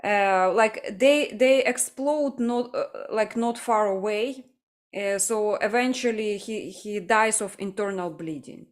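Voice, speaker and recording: A woman from Ukraine hosting a livestream discussion, speaking earnestly and haltingly as she searches for her words. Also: mid-range voice. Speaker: livestream host. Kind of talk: casual discussion.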